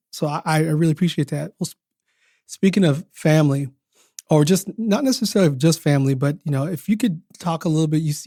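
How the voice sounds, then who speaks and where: Mellow podcast host man. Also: a little high, dry recording, studio quality. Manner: reflective, with repeated words. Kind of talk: casual podcast interview.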